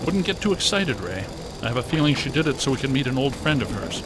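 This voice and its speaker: Man playing a dry, deadpan scientist, noisy backdrop. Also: medium pitch, fast talker, lightly acted. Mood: wry. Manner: dry, precise, technical delivery. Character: brainy deadpan male ghost-hunting scientist, unflappable. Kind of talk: video game voice acting.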